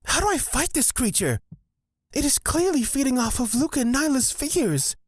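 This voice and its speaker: A woman playing a pensive male witch-cleric in an audio drama, thinking aloud in a deliberate, dryly wry way. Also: high-pitched, very animated, slightly rough, exaggerated voice.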